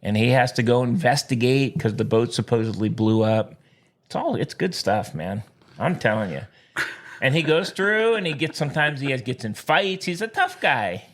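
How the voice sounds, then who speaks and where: A man's voice: mid-range voice, animated, normal speed. A man co-hosting a podcast in casual banter, playful and enthusiastic, teasing his co-host.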